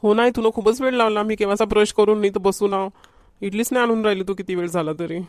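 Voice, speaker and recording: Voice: mid-range voice, fairly even intonation, fast talker, no room sound, studio quality, close to an everyday voice. A performer voicing a food-loving, whiny young schoolboy in a homemade comedy cartoon, with a Varhadi Marathi accent. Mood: impatient. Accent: Varhadi Marathi from Vidarbha.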